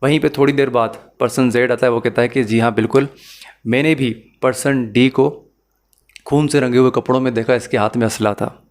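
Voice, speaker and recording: A man's voice: medium pitch, steady tone, a little fast. A man with a Pakistani accent lecturing as a law teacher, explaining methodically, rephrasing key points and mixing in English terms.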